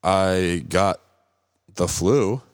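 Deep-voiced guy with a stuffy sick nose, nasal and sniffly, swearing and joking on a podcast, dry close sound. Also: drawn-out words, dry recording. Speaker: podcast co-host. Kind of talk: casual sports chat.

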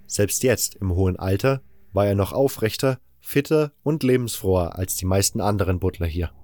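Low-voiced male audiobook narrator. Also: expressive, moderate pace, darkish tone, soft background music. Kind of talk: scripted first-person fantasy narration.

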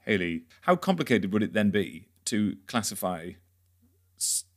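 A man's voice: on the low side, sing-song, measured pace. A man hosting an interview podcast, putting questions in a conversational, slightly tentative and roundabout way.

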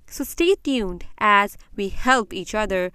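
A young woman, a graduate student, hosting an educational podcast, explaining in a measured way and speaking directly to parents. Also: medium pitch, expressive, sharp tone, smooth. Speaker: podcast host; graduate student in applied linguistics. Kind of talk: scripted educational podcast talk.

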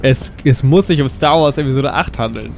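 A man's voice: mid-range voice, a little fast, a little hiss or hum. A man playing along as co-host in an improvised comic audio play, with a playful, stagey delivery.

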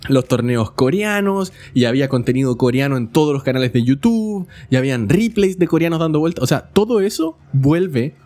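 A man's voice: medium pitch, darkish tone. A man from Chile with a Chilean Spanish accent hosting a casual esports podcast, explanatory and given to joking. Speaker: podcast host.

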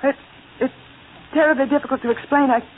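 Radio horror heroine, female actor: anguished, dramatic, high register, thin vintage band. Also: fairly even intonation, brisk, slight background noise, natural voice. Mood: troubled, confiding. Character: haunted, frightened wife turning into a vampire. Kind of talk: 1940s radio acting, first-person narration. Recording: tinny old radio recording, loud music.

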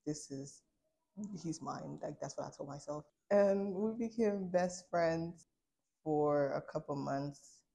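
Low, gravelly young woman, even delivery. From Liberia, later United States. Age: 20s. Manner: informal, reflective, frequent use of "like". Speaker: guest sharing a personal testimony. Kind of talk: candid personal storytelling, conversational.

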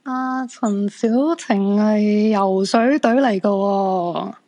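An actress with a Hong Kong Cantonese accent playing an excitable, insistent crystal fortune-teller in a radio drama. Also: female voice, mid-range voice, takes it slowly. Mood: sarcastic, businesslike.

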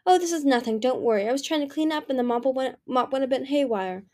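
A spoiled young dragon princess, put on by a woman reading the story, talks in a lifted, wheedling way that tips into whining when cornered. The tone is soft and mellow rather than sharp. She sounds young, pampered and used to getting her way. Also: fairly high pitch, fairly quick, close to an everyday voice. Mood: sugary. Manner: bright, wheedling and whiny.

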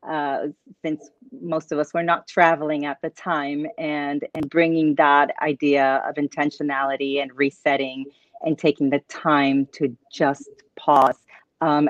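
A man's voice: on the high side, dry recording. A man from Puerto Rico, a coach and guest, answering earnestly in long run-on sentences. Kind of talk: casual interview answers. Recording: dull lo-fi, dry sound.

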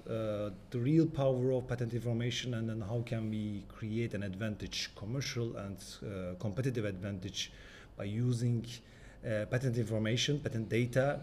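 A man hosts an interview podcast in halting, non-native English. His voice sits at an ordinary male pitch, with clear ambient noise behind him as if recorded at an event. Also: warm tone. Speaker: podcast host.

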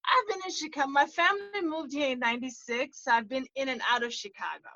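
A woman from South Africa, a guest actor, telling her story in a thoughtful, measured way in casual conversation. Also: high voice, pushed and bright, dull audio. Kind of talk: casual interview chat.

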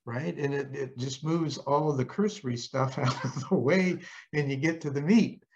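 A male host talks reflectively in a casual interview, in a medium-pitched voice. His delivery is halting, with frequent little tag questions. The audio is dull and lo-fi. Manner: halting, often tags phrases with "right?".

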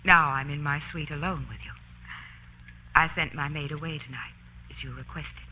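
Bright, coy rich divorcee. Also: female voice, low voice, fairly even intonation, normal speed. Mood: coy, flirtatious. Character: rich, flirtatious, guarded divorcee. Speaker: radio actress. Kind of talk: old-time radio drama acting. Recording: noisy old radio recording.

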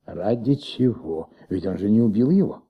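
An actor playing an ailing, insistent middle-aged police commissioner in a radio play, gravelly and halting, repeating himself as he thinks aloud. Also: fairly low pitch, animated. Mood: pondering, restless. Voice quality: gravelly, husky. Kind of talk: Soviet radio play acting. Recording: muffled old radio recording.

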